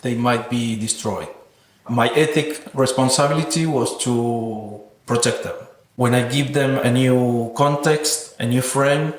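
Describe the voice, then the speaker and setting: Thoughtful man in an echoing room. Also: mid-range voice. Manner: thoughtful, earnest, philosophical. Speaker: interviewed guest, sound artist. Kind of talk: reflective interview answers. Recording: roomy echoing sound.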